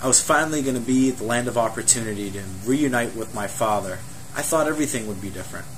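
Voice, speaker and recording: Earnest Egyptian-born man, measured testimony. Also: medium pitch, slight background noise, low-quality recording. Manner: earnest, measured, with rhetorical questions. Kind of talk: personal testimony monologue.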